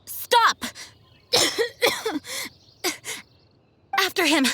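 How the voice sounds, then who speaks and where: A woman's voice: extremely high pitch, animated, sharp tone, full character voice. A woman playing a dutiful young knight commander in a video game, formal, gracious and resolute. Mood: determined, strained. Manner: formal, earnest, measured. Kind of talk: video game character acting. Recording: faint game music behind.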